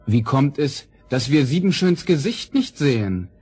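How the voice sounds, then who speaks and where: A man's voice: medium pitch, big pitch swings, measured pace, a little mellow, husky, soft background music, heavily acted. A man playing an earnest, ardent young noble prince in a fairy-tale audio play, with solemn declamatory delivery. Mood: earnest, resolved. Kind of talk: vintage fairy-tale radio play acting.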